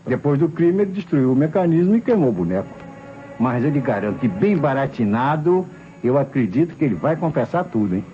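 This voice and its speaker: An actor playing an eager, opinionated junior police detective in an old Brazilian radio mystery, with a Brazilian accent. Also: male voice, mid-range voice, big pitch swings, fairly quick, warm tone, lightly acted. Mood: eager, sure. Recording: muffled old radio recording, faint music.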